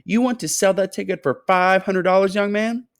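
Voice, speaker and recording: Man doing a shrill indignant woman. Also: steady tone, moderate pace, dry recording, clean studio sound. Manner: shouting, indignant. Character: loud indignant woman in a crowd.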